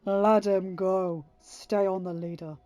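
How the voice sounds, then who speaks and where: A woman voicing a cold, vengeful male villain who growls slow threats in a space adventure story. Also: mid-range voice, steady tone, mellow, no room sound, natural voice. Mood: menacing.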